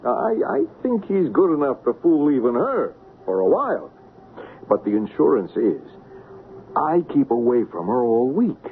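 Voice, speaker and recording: An actor playing a cocky, persuasive swindler who coaxes and builds up to reveals in an old-time radio drama. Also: male voice, medium pitch, big pitch swings, moderate pace, phone-quality sound. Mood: confident, explaining. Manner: theatrical, coaxing, builds up to reveals. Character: cocky, persuasive male swindler masterminding a plot. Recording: band-limited, noisy radio drama recording.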